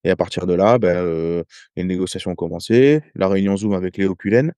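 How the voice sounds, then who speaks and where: A French man in his thirties, a rugby player guest, telling stories in casual podcast talk, halting with many hesitations and restarts. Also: deep voice, dark tone, clear, resonant tone, no room sound. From France. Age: 30s. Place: home in Ireland.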